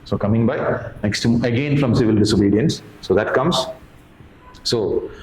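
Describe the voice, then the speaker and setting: A man's voice: fairly low pitch, normal speed, darkish tone. A middle-aged Indian-accented man giving an informal exam-analysis lecture as a history teacher, emphatically repeating phrases and asking questions he answers himself. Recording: roomy echo, clear background noise.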